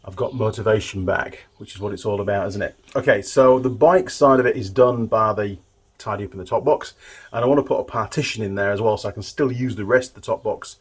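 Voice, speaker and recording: Chatty low-voiced man with a husky edge. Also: brisk. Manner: chatty, informal, talking to the viewer while working. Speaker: motorbike mechanic and video host. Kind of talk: casual hands-on workshop vlog, thinking aloud.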